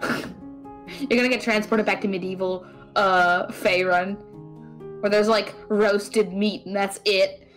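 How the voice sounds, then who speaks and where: A woman's voice: mid-range voice, fairly even intonation, slow, faint music behind, natural voice. A woman playing a brainy, caring and exasperated female friend in a conversational audio drama, wry and fretful. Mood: amused, teasing.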